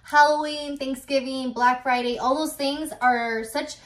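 Casting: chatty twenties woman, high voice, roomy echo, phone-recorded vlog. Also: fairly even intonation, unhurried, fairly bright tone. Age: 20s. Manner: chatty, lots of "like" fillers. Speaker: YouTuber. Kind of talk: casual chat. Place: bedroom. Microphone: phone camera. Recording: echoey room.

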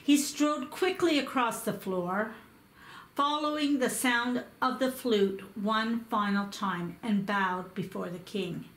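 A woman reading a picture book aloud, slow and careful in her storytelling. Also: a little high, fairly bright tone. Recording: echoey room.

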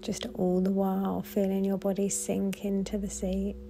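A woman guiding a body scan meditation in a gentle, soothing, unhurried way with long pauses. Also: mid-range voice, monotone, creaky, noisy background. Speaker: meditation guide.

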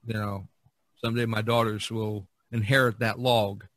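A man's voice: fairly low pitch, flat delivery, moderate pace, fairly bright tone, dry recording. A man chatting casually on a podcast, explaining things in a rambling way with many "you knows". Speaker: artist on a podcast.

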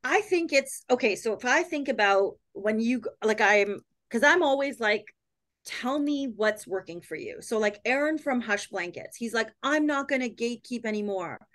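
A woman's voice: on the high side, moderate pace. A woman in her thirties, a company co-founder, talking animatedly as a podcast guest over a video call from home. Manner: animated, quotes herself, lots of "like". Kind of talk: casual chat on a video call.

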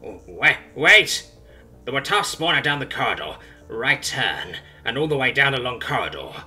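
A man voicing an ancient, bitter alien abductor with needle teeth, speaking slowly and menacingly, often in a whisper. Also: low voice, very animated, pushed and bright, soft background music, voice pushed down, exaggerated voice. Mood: pleading.

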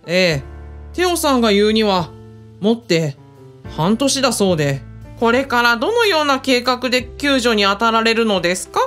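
Woman doing a polite ladylike aide in a radio drama, highish lively voice, bit of music behind. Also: normal speed. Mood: polite, concerned. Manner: polite, refined feminine phrasing. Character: polite, composed female aide to the boss.

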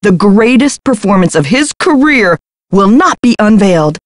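An actress playing a pompous, controlling publicity agent in a video game, speaking in a grandiose, theatrical manner. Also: female voice, mid-range voice, very animated, normal speed, no echo, studio quality, natural voice.

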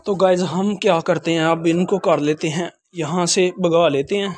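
A man's voice: on the high side, steady tone, normal speed, darkish tone. A young man, casually narrating chores in a village courtyard while filming himself on a phone held at arm's length. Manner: chatty, repeats filler phrases, addresses viewers as guys. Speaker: village life vlogger. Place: outdoor village courtyard with poultry.

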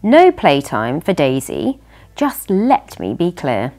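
A woman's voice: low voice, huge pitch swings, slow pace, darkish tone, soft background music, pitched below the performer's own voice, full character voice. A woman voicing a strict female octopus schoolteacher in a storybook, firm and instructing. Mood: stern.

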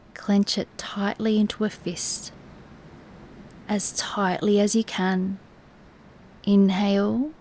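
A woman teaching guided relaxation in a soothing, soft, instructive way, with breathy, near-whispered moments and long pauses. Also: medium pitch, a little hiss or hum. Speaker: yoga nidra meditation teacher.

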